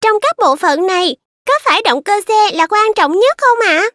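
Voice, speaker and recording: A very high-pitched little kid from a children's cartoon, squeaky and eager. The tone is bright and sharp, recorded close and dry, with a curious, polite way of talking. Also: expressive, moderate pace, no echo, heavily acted.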